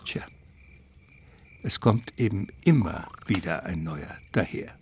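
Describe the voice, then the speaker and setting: A man narrating a comic adventure radio play as a wry, literary storyteller with measured delivery. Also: deep voice, animated, darkish tone, a little hiss or hum.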